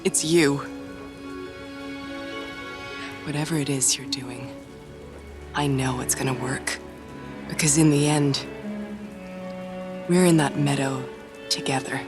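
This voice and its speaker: A woman's voice: rumbling low, steady tone, measured pace, pauses often, over music. A woman playing a blunt, foul-mouthed but vulnerable young woman haunted by visions in a video game cutscene. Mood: earnest, pleading. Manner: blunt, sweary, emphatic.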